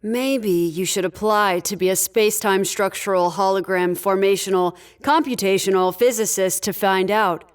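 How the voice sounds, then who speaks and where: A woman acts a sardonic, authoritative leader in a sci-fi audio drama. She speaks at a medium pitch in a dry, commanding way that expects to be obeyed. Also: moderate pace, hardly any pauses, clean tone, close to an everyday voice. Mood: dryly sardonic.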